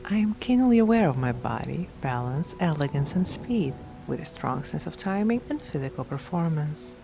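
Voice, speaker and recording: A woman reads scripted affirmations for sleep hypnosis in a measured, deliberate way, phrase by phrase. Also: low voice, big pitch swings, vocal fry. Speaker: affirmations narrator. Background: background music.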